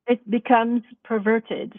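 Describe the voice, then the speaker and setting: A woman's voice: a little high, little pitch movement, slow, no echo. A woman whose first language is not English, a parent guest telling her own story emphatically in a podcast discussion. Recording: tinny phone-like line.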